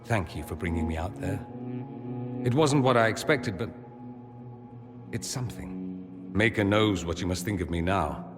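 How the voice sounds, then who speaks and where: An actor playing a proud, wry, wounded young mage in a video game cutscene, speaking in a refined, theatrical way. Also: male voice, low voice, lively intonation, moderate pace, pauses often. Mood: wounded, reflective. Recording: reverberant hall with loud music behind.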